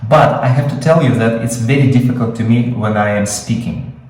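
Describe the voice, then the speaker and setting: A man's voice: medium pitch, a little fast, a little mellow, heavy reverb, recorded from a distance, rough recording. A man with a Russian accent, Russian his first language, teaching screenwriting in an improvised webinar, explaining with many fillers and restarts. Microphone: video call.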